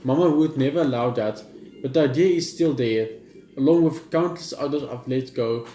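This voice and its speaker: A man's voice: mid-range voice, normal speed, warm tone, reverberant, a little hiss or hum. A man who hosts a gaming channel, reading a visual novel's text aloud and chatting between passages, casual and stumbling, with the odd offhand swear.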